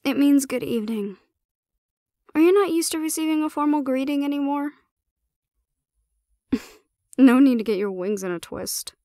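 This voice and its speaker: A woman's voice: sits high, normal speed, pauses often, warm tone, no room sound. Woman voicing a cheeky young handler, audio drama; playful teasing delivery, recorded dry. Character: cheeky, teasing young female new handler, Spanish-speaking. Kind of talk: scripted audio roleplay acting.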